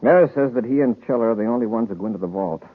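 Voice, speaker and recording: An actor playing a commanding, sharp-witted space commander with an authoritative, composed manner in a 1950s radio serial. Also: male voice, mid-range voice, racing, close to an everyday voice. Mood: brisk, businesslike. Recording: muffled vintage radio recording.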